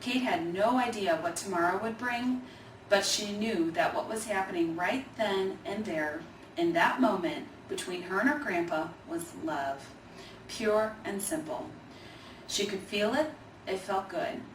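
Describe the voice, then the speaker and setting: A woman's voice: medium pitch, a little slow. A woman narrating a children's story, reading from a script. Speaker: storybook reader. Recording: roomy, distant mic, faint noise.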